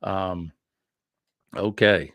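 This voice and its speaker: A man's voice: low-pitched, steady tone, very slow, fairly bright tone, no room sound. A man hosting a casual morning livestream, greeting viewers in an easygoing, joking way with many fillers. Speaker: livestream host.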